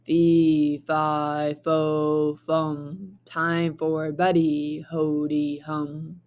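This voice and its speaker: A woman voicing a big hungry giant from a storybook, booming and gruff, with slow, drawn-out words. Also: flat delivery, highs cut off, full character voice. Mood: booming, menacing.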